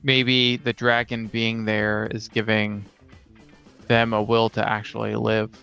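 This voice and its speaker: A man casually brainstorming fantasy lore as a co-host, pausing as he pieces ideas together, on a dull lo-fi recording. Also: on the low side, completely flat delivery, vocal fry, sharp tone, smooth, faint music behind. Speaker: podcast co-host. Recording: dull lo-fi sound.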